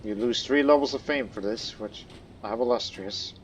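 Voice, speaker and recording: A man's voice: medium pitch, moderate pace, dull audio, a little hiss or hum. A man giving casual gameplay commentary, rambling with many fillers and restarts, sometimes talking as his in-game ruler. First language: not Croatian; studied Croatian as a second language. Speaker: let's play host. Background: faint game music.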